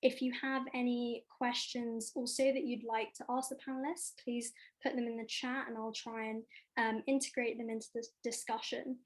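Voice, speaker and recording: A woman's voice: on the high side, little pitch movement, normal speed. A woman moderating a panel discussion over a video call, with many fillers.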